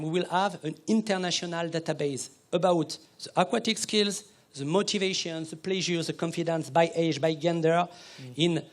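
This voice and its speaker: Man with frequent restarts, fairly high voice. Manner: halting, repeats words, restarts often. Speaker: researcher presenting a project. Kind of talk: conference panel answers.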